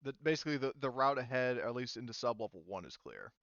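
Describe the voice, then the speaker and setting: A man with a smooth, clear voice runs a tabletop game in a casual way. He explains things haltingly and conversationally, recorded close and dry with a slightly muffled top end. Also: mid-range voice, animated, a bit bright, no echo. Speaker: game master. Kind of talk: casual tabletop game narration and rules talk.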